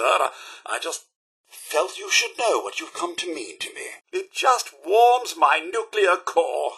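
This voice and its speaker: A devoted British robot butler from a video game, fussy and flowery in speech. The voice is bright and forceful with a husky edge, and swings widely in pitch. Every phrase is courteous and theatrical. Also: male voice, full character voice. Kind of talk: scripted video game companion dialogue.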